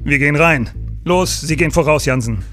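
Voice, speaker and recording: An actor playing a senior police chief in a German crime radio play, speaking with brisk authority. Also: male voice, on the high side, fairly bright tone, soft background music, lightly acted. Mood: commanding.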